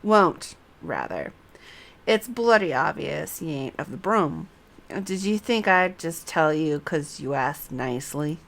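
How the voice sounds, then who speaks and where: A woman's voice: deep voice, expressive, takes it slowly, vocal fry, a bit bright, a little hiss or hum, pitched below the performer's own voice, exaggerated voice. A woman voicing a sassy, dismissive street-wise fantasy game woman with punchy, taunting delivery. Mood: scornful, brash. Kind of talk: acting out game quest dialogue.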